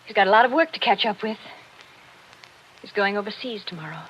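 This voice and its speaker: An actress playing a hurt, bitter wealthy wife in a 1940s radio drama, speaking in a genteel, wistful way. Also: female voice, mid-range voice, lively intonation, a little fast, slightly rough. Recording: muffled old radio broadcast with hiss.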